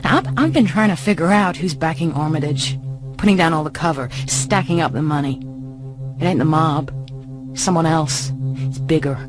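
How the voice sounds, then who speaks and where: A woman's voice: low-pitched, normal speed, natural voice. An actress playing a tough, streetwise female mercenary in a radio drama, speaking in a blunt, clipped, knowing way. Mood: cool, confiding. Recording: music and street noise behind.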